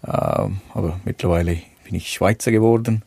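Halting man with a Sri Lankan accent, gravelly low voice. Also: fairly even intonation, warm tone, no echo. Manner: halting, many fillers, searching for words. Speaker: guest, adventure speaker. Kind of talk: interview answers. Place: seated at a small table with four people.

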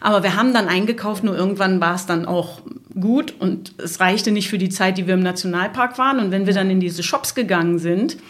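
Woman, middle-aged, casual storyteller, detailed, meandering, medium pitch. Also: a little fast. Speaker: podcast guest, travelling mother.

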